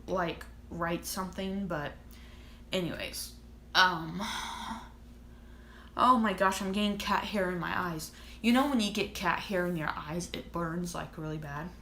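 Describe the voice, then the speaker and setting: A woman's voice: lowish voice, fairly bright tone, reverberant, slight background noise. A woman in her thirties chatting casually to camera as a vlogger, rambling and restarting her thoughts. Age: 30s. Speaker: vlogger and author.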